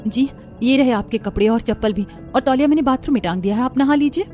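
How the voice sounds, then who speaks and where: A woman acts a timid young daughter-in-law in an animated family story. Her voice is lightly high, meek and anxious, with hurried delivery. Faint music sits behind it. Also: natural voice. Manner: meek, pleading.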